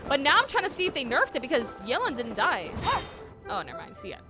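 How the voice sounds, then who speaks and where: A woman's voice: high-pitched, very animated, fast talker, music in the background. A woman streamer chatting casually as she reacts to a game. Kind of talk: casual gameplay reaction chat.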